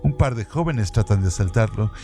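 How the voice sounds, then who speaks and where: A man presenting a radio program, reading literary trivia from a script in a measured, even way. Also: fairly low pitch. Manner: measured, reads evenly from a script. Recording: music bed under voice. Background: background music.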